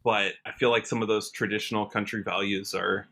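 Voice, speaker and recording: An American man chatting casually as an interview guest, earnest and halting, often rephrasing as he goes. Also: lowish voice, level tone, fairly bright tone. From Washington state, USA. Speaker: podcast guest, country singer.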